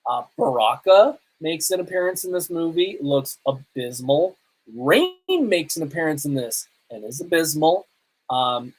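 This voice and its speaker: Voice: medium pitch, low-quality recording. A podcast host talking casually through a movie review as an opinionated, self-confessed fan.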